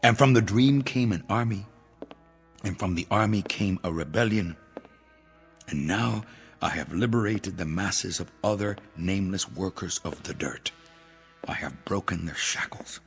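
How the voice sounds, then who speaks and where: An actor playing a vengeful, gloating revolutionary leader with theatrical, menacing declamation in a television drama. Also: male voice, deep voice, measured pace. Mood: zealous, grim. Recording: reverberant hall, music underneath.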